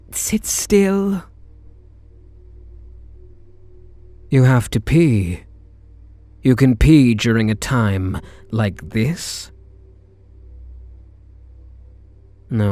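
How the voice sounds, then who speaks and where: Slow-talking British kidnapper, acted by a man. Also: mid-range voice, darkish tone. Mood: weary warning. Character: reluctant male kidnapper, gruff but secretly softening. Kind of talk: ASMR roleplay acting, intimate one-sided dialogue.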